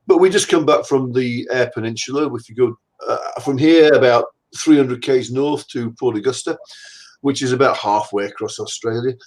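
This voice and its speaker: A man's voice: medium pitch, darkish tone, rough recording. An older man from England, a guest in an interview, telling rambling, self-deprecating stories from his career over a video call. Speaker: guest anatomist. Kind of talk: casual conversational interview.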